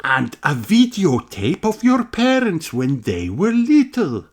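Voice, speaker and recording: A reader voicing a stately, patient female schoolteacher gazelle in a children's storybook, with slow, drawn-out teacherly delivery. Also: medium pitch, huge pitch swings, a little mellow, voice pushed up, heavily acted. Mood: bright, encouraging. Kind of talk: children's storybook read-aloud.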